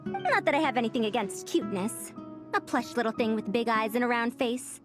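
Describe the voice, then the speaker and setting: A proud young lady from a video game, played by a woman, speaks with haughty elegance. Her high voice moves freely in pitch as she picks words precisely, over clearly audible music. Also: expressive, a little fast, lightly acted. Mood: refined, self-assured. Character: proud, refined young lady puzzle enthusiast. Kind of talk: video game character dialogue.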